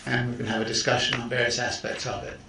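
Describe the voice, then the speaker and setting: A man lecturing students as a visiting professor, steady and explanatory, circling back to restart his phrases. He sounds set back from the mic in a reverberant room, with a faint hiss behind him. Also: mid-range voice, fast, vocal fry, recorded from a distance.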